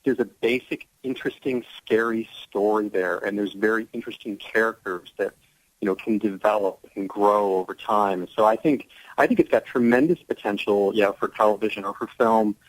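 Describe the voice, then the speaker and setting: A man's voice: fairly low pitch, moderate pace, no room sound, poor audio. A man speaking as an actor guest in a conversational radio interview, explaining things earnestly.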